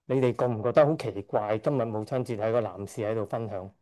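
A middle-aged man from Hong Kong speaks at a church service with a mellow, warm voice. He is hesitant, stops often to correct himself and lets out a shy chuckle now and then. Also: on the low side, quick pace. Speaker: church member giving testimony. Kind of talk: church testimony speech.

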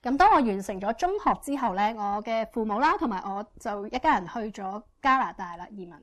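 Fast-talking woman interpreting a church talk. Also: mid-range voice, lively intonation, a bit bright. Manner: quick and fluent, frequent "噉呢" fillers. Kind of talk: consecutive interpretation.

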